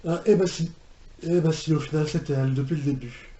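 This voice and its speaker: A man giving casual reaction commentary as a video host, halting and often restarting his phrases. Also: a little high, steady tone. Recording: roomy home recording with background noise.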